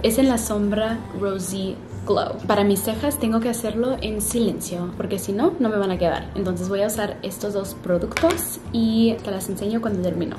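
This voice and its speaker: Young adult woman, relaxed self-correcting vlog chatter, close camera in an echoey hotel room. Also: medium pitch. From Canada. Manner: chatty, pauses to search for words and corrects herself. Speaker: vlogger. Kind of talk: casual get-ready-with-me chat to camera. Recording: roomy room with music underneath.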